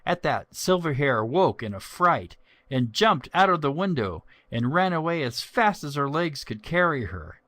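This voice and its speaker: A man narrating a children's story from a script in a measured, expressive way. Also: on the high side, no room sound.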